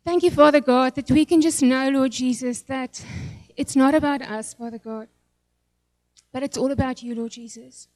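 A woman's voice: high voice, fairly even intonation, moderate pace, a little mellow, no room sound. A middle-aged South African woman whose first language is Afrikaans, giving a nervous, emotional church testimony and prayer with many fillers and restarts.

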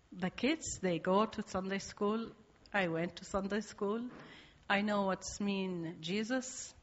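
A woman's voice: mid-range voice, a bit bright, dull audio. A woman with an Iraqi accent giving a church testimony in simple English, hesitant and full of fillers. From Iraq. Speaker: guest speaker.

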